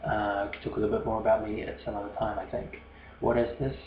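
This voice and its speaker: Casting: New Zealand male podcast host, low monotone, hesitant rambler, muffled roomy recording with steady noise. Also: quick pace. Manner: hesitant, many fillers and restarts. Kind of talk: rambling solo podcast monologue.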